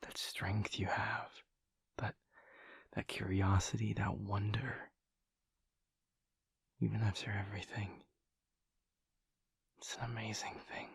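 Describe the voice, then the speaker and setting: A man playing a gentle, devoted vampire lover in an intimate audio roleplay, speaking in a breathy, husky hush with slow, halting, tender pauses, close to the microphone. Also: fairly low pitch, little pitch movement, dry recording, heavily acted. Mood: adoring. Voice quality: breathy, husky, often whispering. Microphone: close microphone, dry.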